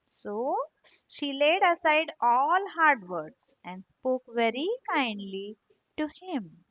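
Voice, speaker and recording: High, animated woman reading aloud to children. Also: slow, no echo, rough recording. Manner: expressive, teacherly, addresses children directly. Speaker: storyteller for children. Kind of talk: read-aloud moral story narration.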